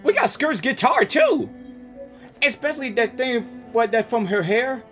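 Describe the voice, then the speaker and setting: A woman streams her reactions to a video game in casual, informal speech. Her pitch moves around a lot as she talks back to the characters, with faint music behind her. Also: expressive, unhurried.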